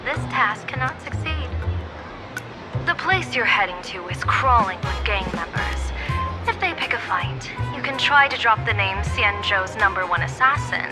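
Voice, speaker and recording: A woman playing a courteous, teasing general and fortune-teller in a video game, speaking formally and with measured politeness. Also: medium pitch, animated, loud music behind the voice, lightly acted. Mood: solemn, courteous.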